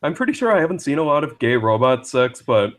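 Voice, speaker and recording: A man chatting casually as a guest on a live stream, speaking in a hesitant, halting way. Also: medium pitch, very animated, fairly bright tone. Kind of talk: casual chat.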